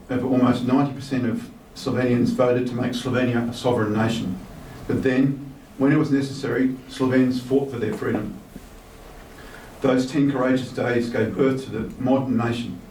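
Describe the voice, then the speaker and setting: A man in his fifties giving a speech, reading a message and adding personal remarks in a relaxed way with "um" fillers. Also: mid-range voice, moderate pace. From Australia. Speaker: guest speaker reading a minister's message. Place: large hotel reception hall. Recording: echoey hall from far off with faint noise.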